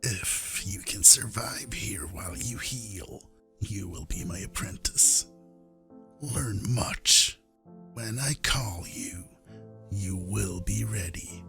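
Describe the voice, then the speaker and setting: A man playing a cold, domineering Sith lord in an audio drama, with a whispery gravelly voice and commanding, deliberate delivery. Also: sits low, exaggerated voice.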